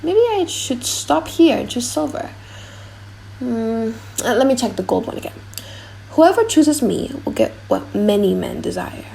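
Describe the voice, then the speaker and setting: A woman's voice: fairly high pitch, big pitch swings, measured pace, creaky, dark tone, slight background noise, full character voice, low-quality recording. A woman voicing a proud, lovestruck foreign prince in a dramatic audiobook play, grandly thinking aloud. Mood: proud, deliberating. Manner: grandiose, self-deliberating.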